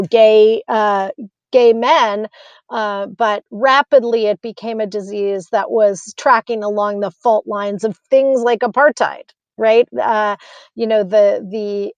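A woman's voice: fairly high pitch, creaky, no room sound. An American woman in her fifties, a physician, answering interview questions in an earnest, reflective way with many fillers and restarts. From United States. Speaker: physician and guest.

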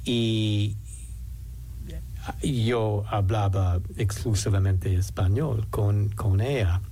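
A man's voice: on the low side, mellow, slight background noise. A man, an English speaker, hesitantly talking as a guest in a casual interview. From United States. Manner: hesitant, halting, many restarts.

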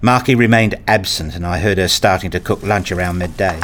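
A man reading a scripted first-person story as narrator, in a measured, introspective manner. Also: low voice, a bit bright.

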